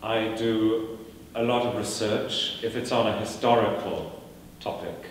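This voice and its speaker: A man whose first language is Welsh, a performer speaking in a measured, thoughtful way with many fillers and restarts, heard from a distance in a large lecture hall. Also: on the low side, slight background noise. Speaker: performer giving a talk. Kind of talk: lecture answering audience questions. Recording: echoey hall recorded from a distance.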